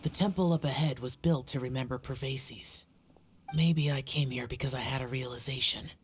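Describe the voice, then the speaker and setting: A woman voicing a solemn young male warrior guardian in a video game, speaking in a grave, measured, weary way. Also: extremely low pitch, slight background noise. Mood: brooding.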